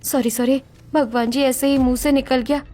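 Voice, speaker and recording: A woman voicing an anxious, dutiful young bride in an earnest, expressive family drama story. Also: sits high, normal speed, lightly acted. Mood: worried.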